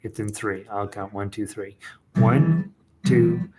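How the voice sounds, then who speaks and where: A man's voice: fairly low pitch, slow pace. A man teaching a casual fingerstyle guitar lesson in an informal, hesitant way, as if thinking aloud.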